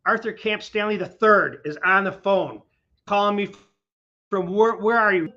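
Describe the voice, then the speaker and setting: A man with a high, bright voice hosts a casual interview, rambling and chatty as he tells his own memories. His voice is still recovering from a recent illness, and the recording sounds dull and lo-fi. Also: normal speed. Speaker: host. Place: his garage, windy outdoors.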